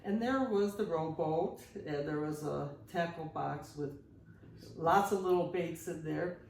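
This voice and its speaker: A woman's voice: deep voice, measured pace, vocal fry, a little mellow, slight background noise. A woman in her sixties co-hosting a podcast, telling stories casually with a playful, teasing manner in a roomy recording made at some distance from the mic. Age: 60s. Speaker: podcast host. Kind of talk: casual conversational storytelling.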